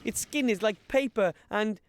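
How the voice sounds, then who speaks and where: Man voicing bumbling young gnome, game character, high voice, hesitant, stammering. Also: normal speed, close to an everyday voice. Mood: puzzled. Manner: fumbling, hesitant, stammering when startled. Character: timid, bumbling young male gnome adventurer. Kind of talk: adventure game voice acting.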